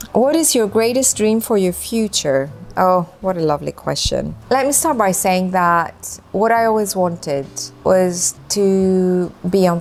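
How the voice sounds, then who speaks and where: A woman in her fifties, a native Greek speaker, answering viewer questions in a gentle, chatty, reflective way. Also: lowish voice, a little hiss or hum. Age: 50s. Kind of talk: casual Q&A chat.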